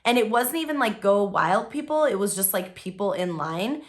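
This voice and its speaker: A woman's voice: mid-range voice, expressive, normal speed. A young woman chatting casually in a vlog, filling her talk with "like" and "um", her voice only just back after being lost. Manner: chatty, lots of "like" and "um". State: voice recently lost, recovering. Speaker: vlogger.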